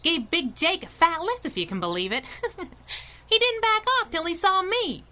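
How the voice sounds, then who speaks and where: An actress playing a tough, teasing, affectionate superhero sidekick in a 1930s-style radio drama. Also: female voice, extremely high pitch, sing-song, moderate pace, bright, forceful tone, full character voice. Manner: sassy, teasing, clipped.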